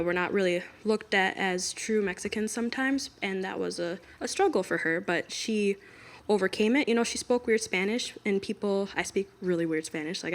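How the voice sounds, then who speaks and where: Relaxed young woman, reflective guest. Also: medium pitch. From United States. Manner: relaxed, reflective, many fillers. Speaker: guest singer. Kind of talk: casual interview talk.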